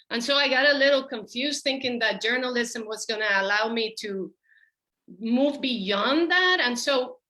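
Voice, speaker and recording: A woman telling her own story reflectively as a panel guest, in thoughtful, halting phrases. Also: a little high, sharp tone, poor audio. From Puerto Rico. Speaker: panel guest, school counselor.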